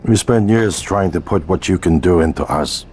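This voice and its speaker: An actor playing a weary, grave lead scientist in a video game, speaking deliberately and earnestly. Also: male voice, sits low, darkish tone, faint music behind, lightly acted. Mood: earnest, solemn. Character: weary, grave male scientist leading an experiment. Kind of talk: video game cutscene dialogue.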